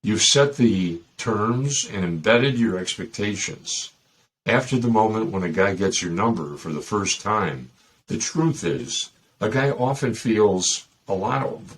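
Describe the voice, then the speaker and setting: A man with a Chicago accent and a very low, husky voice gives dating advice in a loose, rambling way. He is middle-aged and keeps tossing in joking asides. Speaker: host. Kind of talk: casual dating advice talk.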